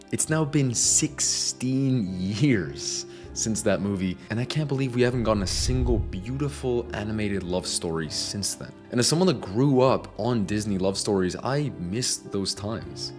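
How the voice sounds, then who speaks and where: A young man with a lowish voice reads a scripted video essay, earnest and a little nostalgic as he makes his case. Soft music plays quietly underneath his narration.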